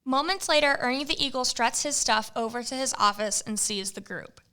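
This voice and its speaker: A woman's voice: high-pitched, brisk, sharp tone, clear, resonant tone. A woman narrating an amateur comedy audio drama in a matter-of-fact way.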